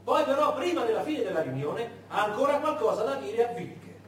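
A man narrating a story on stage in a dramatic, theatrical way, with deliberate pauses. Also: extremely high pitch, sing-song, a bit bright. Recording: echoey room, far mic, faint noise.

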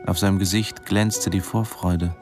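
A male actor plays a defiant, lovestruck diving instructor in a radio drama. His voice sits very low, measured and confessional as he narrates. Music runs clearly behind him. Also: fairly even intonation, a little mellow, close to an everyday voice. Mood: absorbed, confessional.

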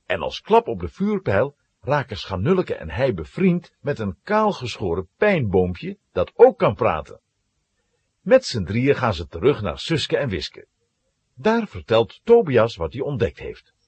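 Raspy guy narrating kids story, lowish, super expressive, dry sound. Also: unhurried, no echo, highs cut off. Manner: dramatic, expressive. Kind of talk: scripted children's story narration.

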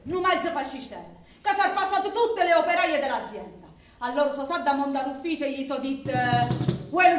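A woman playing a proud, feisty working woman with an Abruzzese accent, telling her story in a colloquial theatrical monologue. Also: sits high. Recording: roomy, distant microphone.

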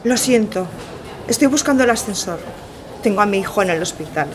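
A woman's voice: medium pitch, expressive, brisk, crowd noise, close to an everyday voice. An actress playing a worried, weary mother of an injured child in a radio drama. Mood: distracted, anxious.

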